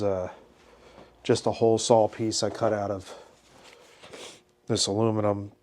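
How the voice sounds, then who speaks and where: A man's voice: on the low side, a little mellow. Congested man chatting in his garage. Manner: laid-back, thinks aloud with restarts. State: congested from a head cold. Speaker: car builder filming his shop project. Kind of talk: casual how-to narration. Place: cluttered home garage shop.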